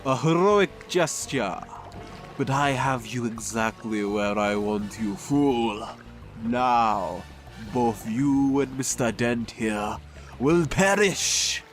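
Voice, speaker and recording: An actor in an audio drama playing a pretentious, theatrical crime boss who tells tall tales in grandiose, formal language. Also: male voice, mid-range voice, slow pace, faint music behind, natural voice. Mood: gloating. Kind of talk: scripted audio drama acting.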